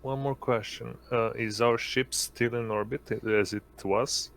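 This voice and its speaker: A man's voice: medium pitch, steady tone, unhurried, vocal fry, fairly bright tone. A man playing a tabletop role-playing game, speaking casually and hesitantly as he searches for words. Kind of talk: casual improvised role-play.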